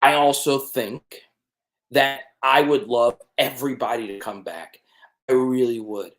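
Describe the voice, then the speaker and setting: A man's voice: medium pitch, unhurried, a bit bright. Emphatic man hosting a sports live stream. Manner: emphatic, repeats "okay?" to check in with viewers. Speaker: sports channel host. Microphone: desk mic with changed settings.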